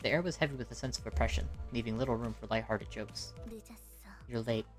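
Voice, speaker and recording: A woman's voice: extremely low pitch, fast. A woman reading scripted game story narration as an amateur voice actor, recorded at home. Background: music.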